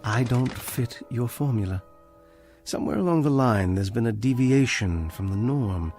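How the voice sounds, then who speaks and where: A man's voice: medium pitch, expressive, moderate pace, a little mellow, soft background music, lightly acted. An actor playing a condemned, bookish male librarian in a radio drama, precise, pedantic and dryly ironic. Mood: bitter, defiant.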